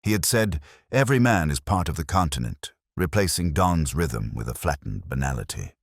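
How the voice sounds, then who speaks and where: Detective drama narrator: male actor as world-weary British inspector, extremely low voice, expressive intonation, measured and brooding delivery. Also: vocal fry, no echo, clean studio sound, exaggerated voice. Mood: wry, sombre. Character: world-weary, melancholy British male police inspector, middle-aged or older. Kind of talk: scripted first-person detective audio drama narration.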